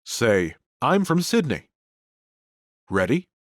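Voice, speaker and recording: An expressive male lesson narrator. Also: medium pitch, slow, no echo, studio quality. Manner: clear, explanatory teacher delivery. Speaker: language course narrator. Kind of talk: scripted grammar lesson narration.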